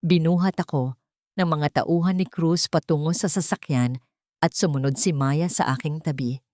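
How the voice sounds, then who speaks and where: A Filipino woman narrates a dramatic scripted story. Her voice sits a little low and is recorded very dry, with measured, emotive phrasing. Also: warm tone, no room sound.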